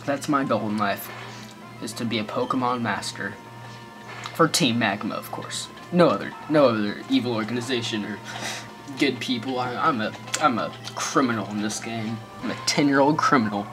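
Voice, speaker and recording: A man's voice: mid-range voice, moderate pace. A man with an American accent giving goofy, rambling let's play commentary full of sarcastic jokes. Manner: joking, rambling, sarcastic asides. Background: faint game music.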